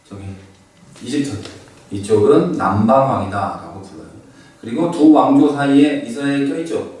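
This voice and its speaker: A man's voice: mid-range voice, a little mellow, heavy reverb, distant mic, poor audio. A middle-aged man teaching a Bible class in a conversational lecturing manner with fillers and asides. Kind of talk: lecture on biblical background.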